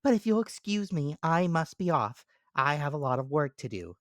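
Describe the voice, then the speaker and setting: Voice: mid-range voice, very animated, moderate pace, no echo, clean studio sound, voice pushed up, natural voice. A storyteller voicing a diligent, sensible female ant in a storybook fable, earnest, firm and kindly. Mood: brisk, dismissive. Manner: earnest, firm, matter-of-fact. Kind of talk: dramatic storybook character reading.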